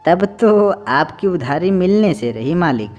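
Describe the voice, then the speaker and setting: A man's voice: high voice, big pitch swings, unhurried, darkish tone, dull audio, faint music behind. A man voicing a scheming, callous henchman in a children's cartoon, sly and servile toward his master. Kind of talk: Hindi children's cartoon dubbing.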